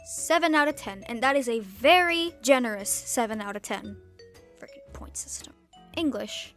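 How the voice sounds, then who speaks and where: A woman's voice: fairly high pitch, animated, moderate pace, a bit bright, soft background music. A teenage girl from Turkey delivering a scripted vlog monologue in a wry, sarcastic way, with sudden comic outbursts. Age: teens. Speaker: YouTuber.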